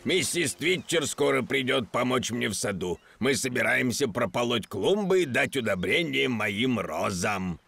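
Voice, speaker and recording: Мужчина озвучивает доброго дядюшку, пса-шкипера из детского мультфильма, говорит неспешно, с восклицаниями. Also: male voice, mid-range voice, expressive, gravelly, a bit bright, breathy edge, no room sound, heavily acted. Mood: cheerful, puzzled. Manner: unhurried, exclamatory. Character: cheerful adult male dog skipper, kindly uncle. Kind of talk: children's cartoon acting. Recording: music under the voice.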